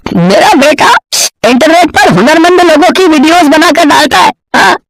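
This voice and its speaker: A well-off male bird from a children's cartoon, acted by a woman, hearty and chatty. The voice is high, with folksy, effusive exclamations. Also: lively intonation, moderate pace, creaky, low-quality recording. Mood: effusive, jovial. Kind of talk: children's cartoon story acting.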